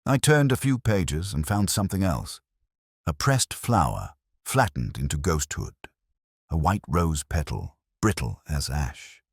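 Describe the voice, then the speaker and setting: A man reading a scripted first-person detective mystery in a measured, brooding, literary manner. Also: a bass voice, very animated, husky, no echo, clean studio sound. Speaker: narrator.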